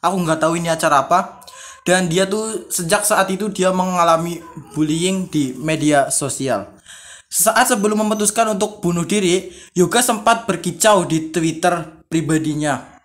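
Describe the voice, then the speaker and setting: A young-sounding, high-voiced man hosts an informal vlog. He reads written accounts aloud, then rephrases them and reacts in everyday conversational language. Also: moderate pace, a bit bright. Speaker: video creator, host. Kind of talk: casual vlog, reading stories aloud with commentary.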